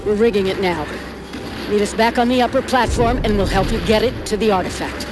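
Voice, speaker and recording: An actress playing a capable woman scientist under siege in a video game, speaking briskly. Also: mid-range voice, background noise, natural voice. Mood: brisk, pressed. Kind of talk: video game cutscene acting. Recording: loud music and artillery behind.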